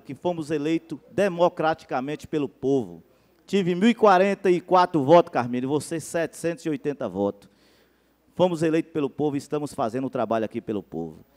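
A man's voice: fairly high pitch, darkish tone. A man, giving a fervent council floor speech into a handheld mic at a podium, his voice rising when he stresses a point. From Brazil. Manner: fervent political speech, rising emphasis on key claims. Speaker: city councilman. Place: city council chamber. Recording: long reverb of a council hall.

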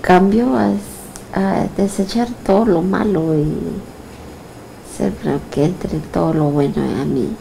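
An older woman lying down after a hypnosis session, answering slowly and hesitantly, with restarts and soft agreement. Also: fairly low pitch, gravelly, noisy background. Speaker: hypnosis client. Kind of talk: casual talk after a session. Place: lying down in an indoor therapy room.

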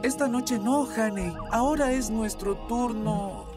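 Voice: mid-range voice, a little slow, natural voice. A performer voicing a kind, responsible young cartoon monkey leader, earnest and encouraging. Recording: loud music behind the voice.

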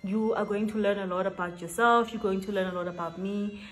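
A woman's voice: mid-range voice, steady tone, a little fast, a little hiss or hum. A woman in her late twenties chatting casually to camera in a get-to-know-me vlog, laughing often and stretching words for emphasis. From South Africa. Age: 20s. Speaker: YouTuber. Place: small indoor room with shelves.

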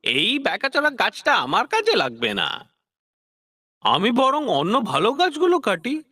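A practical woodcutter from a cartoon fable, played by a man, bright and forceful. The voice leaps about wildly in pitch and sounds dry and close. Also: wildly swooping pitch, unhurried, no echo, full character voice. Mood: dismissive, practical. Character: practical woodcutter sizing up trees.

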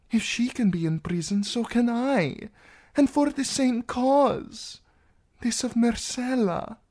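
Narrator putting on a young fantasy princess, husky but pitched up high, wilful and courtly, slow delivery. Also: warm tone, lightly acted. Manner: formal, archaic courtly phrasing.

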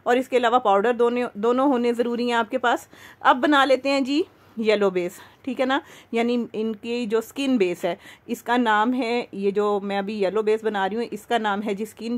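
A woman with a Pakistani accent hosting a makeup tutorial in a chatty, conversational way, explaining things step by step. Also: medium pitch. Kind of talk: instructional demo voice-over, conversational.

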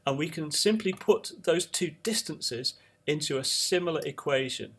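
A man teaching audio engineering, talking through each calculator step in a relaxed screen-recorded tutorial. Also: on the high side, normal speed, slightly rough.

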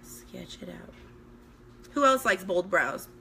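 A woman in her thirties from Sweden, a makeup artist chatting on a live stream from home with a hoarse, recovering voice while she works and reads out viewer comments. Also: lowish voice, big pitch swings, takes it slowly, bright, forceful tone, slight background noise. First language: Swedish. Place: indoors at home, small room. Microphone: phone at arm's length.

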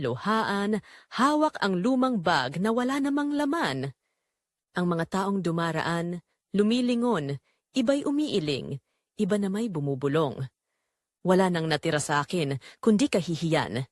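A woman's voice: fairly low pitch, dry recording, studio quality. Woman narrating drama, widely varied intonation. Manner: emotive, sorrowful storytelling. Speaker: first-person story narrator.

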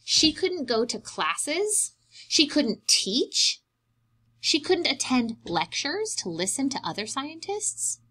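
A woman's voice: high voice, very animated, takes it slowly, bright, forceful tone. A young adult woman reading a picture book aloud as a preschool teacher, explaining things simply to young children.

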